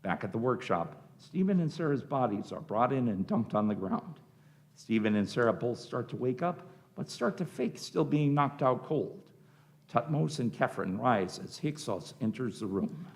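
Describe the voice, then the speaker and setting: A man's voice: medium pitch, big pitch swings, moderate pace, gravelly. A man narrating a scripted radio drama, reading out the scenes and action.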